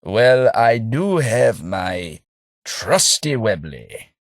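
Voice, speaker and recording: An actor playing a gentle, hapless English gentleman and former clerk, with a soft, slightly husky voice and a mild, wry, self-deprecating manner. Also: lowish voice, sing-song, extremely slow, dry recording, heavily acted. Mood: dry wry. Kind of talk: game character voice lines. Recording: dry studio booth.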